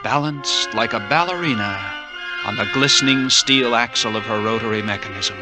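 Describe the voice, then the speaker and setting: A vintage radio recording with music behind a man playing an intense lighthouse keeper telling his tale. He speaks in vivid, emphatic strokes with a wry edge, as in a 1940s adventure drama, at a medium pitch. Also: moderate pace, close to an everyday voice. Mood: reflective.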